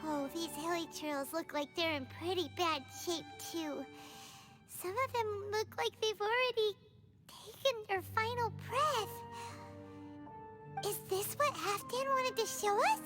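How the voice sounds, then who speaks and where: Squeaky childlike fairy companion. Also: female voice, unhurried. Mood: sombre, pitying. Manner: speaks of herself in third person, exclamatory. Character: tiny, childlike floating fairy companion, excitable and curious. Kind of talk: animated video game voice acting. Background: game music.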